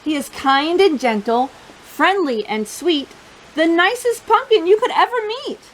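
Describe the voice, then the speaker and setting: A woman hosting a children's storytime, reading a picture book in a playful, sing-song, expressive way and talking directly to young listeners. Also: very high voice, a little slow, a little hiss or hum, rough recording.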